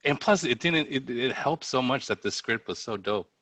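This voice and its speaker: A man's voice: mid-range voice, expressive, normal speed, fairly bright tone, no echo. A man, a theatre director, chatting effusively on an online panel and often repeating words as he starts phrases. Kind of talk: casual panel discussion. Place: remote video call.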